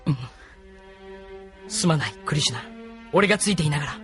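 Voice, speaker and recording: An actor playing an anxious, hot-tempered young resistance fighter in a Japanese anime audio drama, exasperated and earnest. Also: male voice, fairly high pitch, animated, fairly quick, over music, natural voice. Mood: dismayed.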